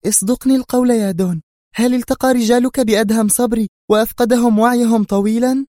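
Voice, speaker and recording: A man voicing a nervous, sharp-tongued woman spy in an audiobook, speaking in a high, strained and urgent voice. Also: fairly even intonation, fast talker, no echo, studio quality. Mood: agitated. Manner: urgent, agitated. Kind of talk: audiobook character reading.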